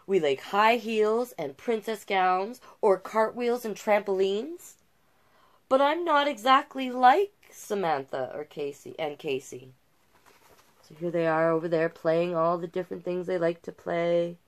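Slow-paced, low-voiced woman reading storytime. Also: lively intonation. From Canada. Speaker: drag king storytime host. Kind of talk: reading a picture book aloud with asides. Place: small cluttered indoor room.

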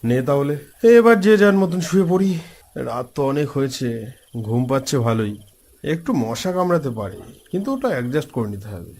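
A man voicing an easygoing young village host in a cartoon story, animated and conversational. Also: mid-range voice, moderate pace. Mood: reassuring. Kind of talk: cartoon story dialogue, casual.

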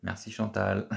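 A man, hosting a casual live stream from a small office and answering viewer comments, chatty and repetitive with many filler pauses. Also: lowish voice, gravelly, a little hiss or hum. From France. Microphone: phone camera at arm's length.

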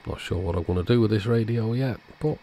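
Low gravelly man narrating a bench repair. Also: quick pace, slight background noise. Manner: relaxed, matter-of-fact commentary while working. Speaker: electronics repair channel host. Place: workbench indoors.

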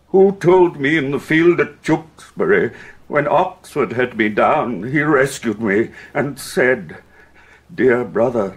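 An actor playing an anguished, wrathful English king in a Shakespearean audio drama, declaiming with heavy pauses and a husky voice. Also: mid-range voice. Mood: anguished, indignant. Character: grief-stricken, wrathful, ailing English king.

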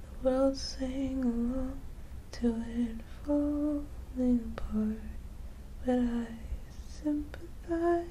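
A woman sings in a soft, sleepy ASMR style. Her voice is high and breathy, with a very dark, mellow tone. She takes it extremely slowly. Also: a little hiss or hum. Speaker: ASMR performer.

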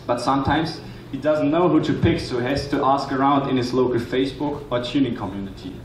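A man in his twenties presenting a rehearsed pitch as a student on a hall stage. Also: fairly quick. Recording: echoey, noisy hall, distant microphone pickup.

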